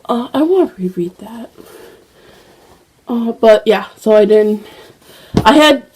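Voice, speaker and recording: Slow-talking young woman with a cold. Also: a little high, animated, a little mellow, husky. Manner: rambling, many fillers and restarts. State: slightly congested with a cold, occasional cough. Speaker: book vlogger. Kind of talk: casual chat.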